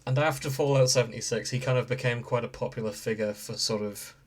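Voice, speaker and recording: A man hosting a casual podcast about video games, explaining in a measured way with joking asides. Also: medium pitch, steady tone. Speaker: podcast host.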